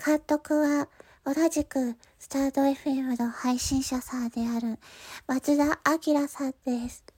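A woman's voice: high-pitched, steady tone, measured pace, a little mellow, no room sound. A woman hosting her own audio channel, making a casual but polite announcement to listeners in hesitant phrases. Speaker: channel host and voice actor.

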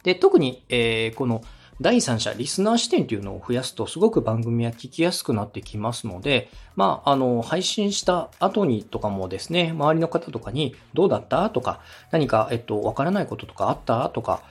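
Male podcast host, polite coach: slightly rough, husky voice, animated pitch, encouraging explanations over faint music. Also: a little fast, few pauses. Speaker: podcast host, radio director giving advice.

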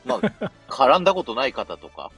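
A man with a fairly low, husky voice moderates a casual chat as host. His delivery is polite and prompting, with very varied pitch. Quiet music sits in the background. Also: huge pitch swings, normal speed. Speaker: host of a live audio show.

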